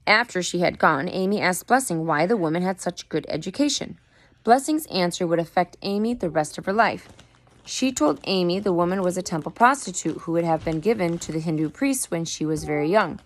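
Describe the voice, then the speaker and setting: A woman reading a book aloud in a deliberate storytelling manner, with an occasional stumble over the words. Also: on the low side, animated. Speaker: reader. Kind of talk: book read-aloud narration.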